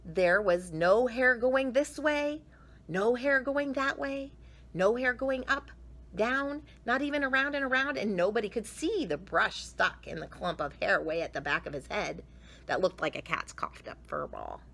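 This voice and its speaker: A woman reading a picture book aloud to children, expressively, with drawn-out emphasis. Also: fairly high pitch, a bit bright. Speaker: children's storyteller.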